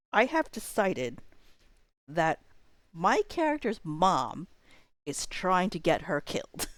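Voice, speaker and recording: Low-pitched woman chatting casually on a livestream. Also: dry recording. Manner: rambling, thinking aloud. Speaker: livestream host.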